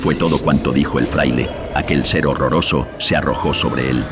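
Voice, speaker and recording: A man's voice: rumbling low, fairly even intonation, normal speed, over loud music. A man narrating a scripted horror story in a solemn, ominous manner, his voice processed with filters. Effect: voice processed with audio filters.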